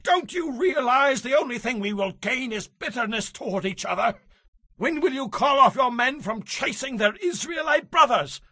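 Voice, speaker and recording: A man playing an ancient army commander in an audio Bible drama, loud, urgent and declamatory. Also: very high-pitched, animated, moderate pace, no echo, clean studio sound, exaggerated voice. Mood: pleading, exasperated. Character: ancient army commander, forceful, exasperated battlefield shouter.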